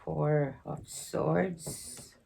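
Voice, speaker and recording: A woman giving an intuitive tarot reading, hoarse because she is losing her voice, speaking slowly with many halting pauses. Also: low-pitched, steady tone, noisy background. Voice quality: hoarse, gravelly. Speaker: tarot reader.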